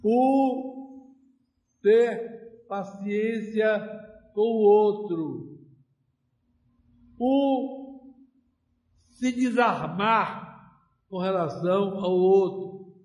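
Very slow, high-pitched Brazilian male preacher. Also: a little mellow. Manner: solemn, deliberate, with long pauses between short phrases. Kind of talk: homily. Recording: roomy, echoing church-like sound.

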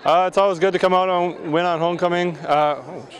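A man's voice: high-pitched, steady tone, brisk, some chatter behind. A young man, a college football player, giving easygoing short postgame answers outdoors on a field. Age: young adult.

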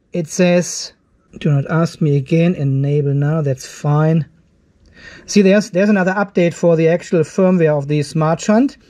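High-pitched middle-aged man explaining tech. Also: warm tone. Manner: conversational, thinking aloud as he works. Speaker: host of an off-grid solar video channel. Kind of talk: casual hands-on tech demonstration, explaining aloud. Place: indoor garage workshop.

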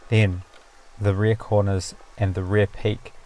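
A man narrating a sailing vlog in a calm, matter-of-fact voice-over, explaining a build step by step. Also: on the low side, slow pace, a little hiss or hum. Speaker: sailing vlogger.

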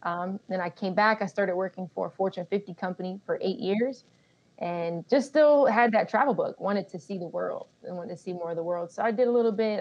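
A woman in her thirties from Colorado, a guest telling her story in a relaxed, self-deprecating way with easy laughs. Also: on the low side, creaky. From Colorado, USA. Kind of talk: casual interview storytelling. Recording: slightly dull lo-fi audio.

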